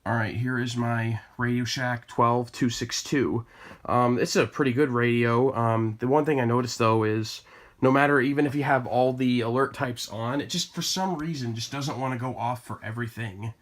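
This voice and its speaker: A man talks casually to viewers as he presents a collection. His delivery is plain-spoken and a little hesitant, with a middling pitch, as if thinking aloud while he shows each item. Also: steady tone, moderate pace. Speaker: collector presenting weather radios. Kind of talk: casual product showcase vlog.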